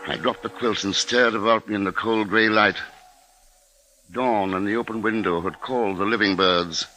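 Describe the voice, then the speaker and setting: An actor playing a shaken but dogged husband and father, narrating gravely and deliberately in a 1950s radio drama. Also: lowish voice, fairly even intonation, bright, forceful tone, a little hiss or hum, rough recording. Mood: somber, slow. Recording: old 1950s radio broadcast recording. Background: dramatic music.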